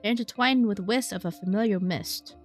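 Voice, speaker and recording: Expressive woman streamer reading game story aloud. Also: mid-range voice, a little fast, soft background music. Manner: expressive, wry asides. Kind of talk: reading game text aloud with casual reactions. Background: game soundtrack.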